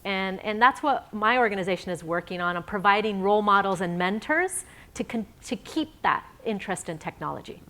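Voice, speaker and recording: A woman's voice: mid-range voice, lively intonation, a little fast, sharp tone. A woman on a conference panel who runs an organization for girls, talking earnestly with many fillers. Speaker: panelist, nonprofit founder. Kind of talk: panel discussion.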